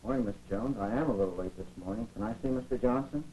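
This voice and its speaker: A man's voice: medium pitch, fast talker, mellow, breathy edge, close to an everyday voice. A man playing a conscientious, polite school bus driver in a 1940s educational film. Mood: polite, reporting. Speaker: actor in a training film. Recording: muffled, noisy 1940s film soundtrack with hum.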